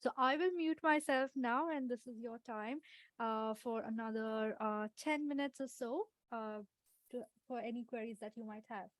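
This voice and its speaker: Woman, webinar host, polite, somewhat high voice, dry sound. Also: moderate pace, dry recording. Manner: polite, with frequent "um" and "uh". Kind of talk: moderating a webinar. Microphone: video call microphone.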